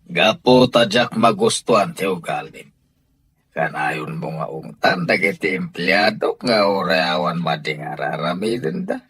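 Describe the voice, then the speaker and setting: A man's voice: sits low, sing-song, unhurried, a bit bright, breathy edge, exaggerated voice. A man with an Ilocano accent playing a firm, sanctimonious husband and head of household in a radio drama. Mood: insistent.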